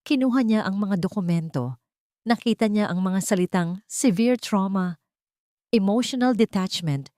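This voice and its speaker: A woman's voice: medium pitch, darkish tone, dry recording, studio quality. A woman narrating a scripted story in a dramatic style.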